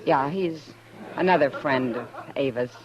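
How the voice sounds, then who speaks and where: A woman's voice: very deep voice, unhurried. A woman playing a friendly, sociable saloon woman in a 1950s radio western, breezy and familiar in manner. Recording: dull old radio recording, crowd chatter.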